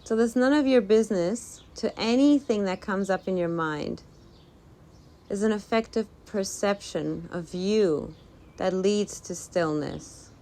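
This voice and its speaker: Woman gently guiding a meditation. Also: medium pitch, a little slow. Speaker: meditation teacher. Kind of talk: guided meditation talk, informal and unscripted. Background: birdsong.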